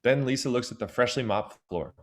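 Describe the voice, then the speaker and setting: A man's voice: mid-range voice, fairly quick. A man reading scripted stage directions as the narrator of an audio drama.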